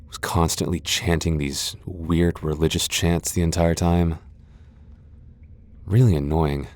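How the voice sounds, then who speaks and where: A proud vampire lord acted out by a man speaks in a deep, dark-toned voice. He is sardonic and defiant, with a dry, sighing delivery suited to a fantasy ASMR roleplay. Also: dry recording. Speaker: voice actor in a roleplay audio.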